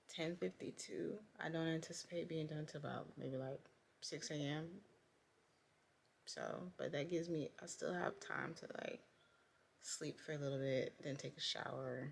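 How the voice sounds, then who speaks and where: A woman costume designer talking casually through a vlog, low-key and tired from long late-night work. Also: vocal fry, a little hiss or hum. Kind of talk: casual vlog talk and voice-over. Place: theater at night.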